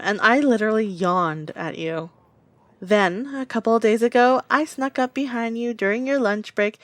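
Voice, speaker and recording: A woman playing a smug, excitable young prankster, bantering and boasting playfully in a comedic audio roleplay. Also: medium pitch, no echo.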